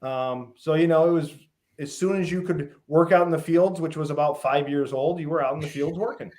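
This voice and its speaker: A middle-aged man from Western Massachusetts, a guest on an interview show, telling stories in a relaxed way with many pauses and lists. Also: fairly high pitch. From Western Massachusetts, USA. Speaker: guest, fish farm founder. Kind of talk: casual interview.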